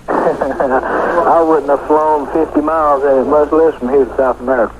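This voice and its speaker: A man's voice: fairly high pitch, fairly even intonation, normal speed, lo-fi sound. A man giving plain spoken interview remarks as an investigator in a radio news clip. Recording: noisy radio news sound bite.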